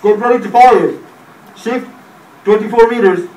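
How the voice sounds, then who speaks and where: A man playing a forceful artillery commander giving deliberate orders in a radio play, in an echoey room far from the mic. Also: very high voice. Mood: urgent, commanding. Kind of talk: radio drama acting.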